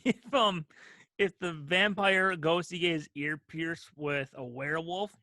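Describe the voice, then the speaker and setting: A woman's voice: fairly low pitch, a little slow, sharp tone, no room sound, studio quality. A woman co-hosting a comedy podcast, chatting casually and improvising playfully. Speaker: podcast co-host.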